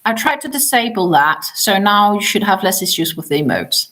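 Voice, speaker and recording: A woman's voice: medium pitch, big pitch swings, normal speed, fairly bright tone. Chatty woman. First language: Danish. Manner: chatty, many ums, trails off and restarts. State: tired after a long day. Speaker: crochet livestream host. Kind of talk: casual livestream chat.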